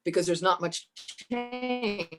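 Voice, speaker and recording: A woman with a fairly low voice telling her personal story as a podcast guest, thoughtful and earnest, often restarting her sentences. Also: fairly bright tone, soft background music. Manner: thoughtful, halting, with many restarts.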